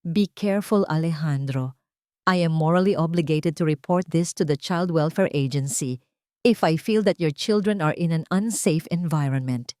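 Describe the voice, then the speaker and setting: A woman's voice: sits low, moderate pace, darkish tone, dry recording, clean studio sound, lightly acted. A woman voicing a stern, defensive doctor in an audio drama, speaking formally and with a threatening, warning manner.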